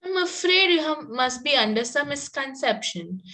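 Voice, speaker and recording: A woman's voice: high-pitched, animated, moderate pace, sharp tone. A woman reading the part of a sweetly polite but spirited young country girl in a Victorian stage comedy, pointed in manner and stumbling a little over lines. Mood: politely defiant. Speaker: table-read participant.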